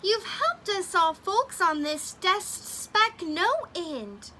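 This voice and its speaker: A woman voicing a tiny, grateful male mayor of a microscopic town, with a high piping manner. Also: animated, slow pace, bright, forceful tone, a little hiss or hum, full character voice.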